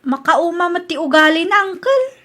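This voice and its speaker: An actress as a young student niece in a radio comedy drama. Her voice is very high, and she complains with fed-up, outspoken defensiveness while staying polite. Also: very animated, normal speed, bright, forceful tone, exaggerated voice.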